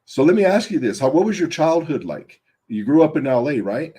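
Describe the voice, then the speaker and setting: A man hosting an interview, affable and agreeable, with drawn-out fillers as he searches for words. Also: a little high, big pitch swings, warm tone, husky. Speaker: interview host, gym owner. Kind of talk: casual interview chat.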